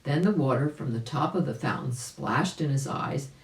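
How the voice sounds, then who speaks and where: A woman's voice: rumbling low, animated, moderate pace. Woman reading children's stories, echoey room. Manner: explanatory, addresses young listeners directly. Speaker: volunteer storybook reader. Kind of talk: children's story narration with asides to listeners.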